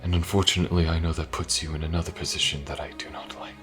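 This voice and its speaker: A man playing a sorrowful, duty-bound immortal mage in a fantasy audio roleplay, solemn, measured and tender, in a breathy, half-whispered voice. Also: low voice, little pitch movement, fairly bright tone. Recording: music behind the voice.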